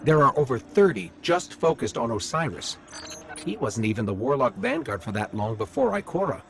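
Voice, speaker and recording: A man's voice: mid-range voice, big pitch swings, moderate pace, a bit bright, slight background noise. A man voicing a small floating robot companion in a video game, curious and dry, musing aloud with wry asides. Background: game music.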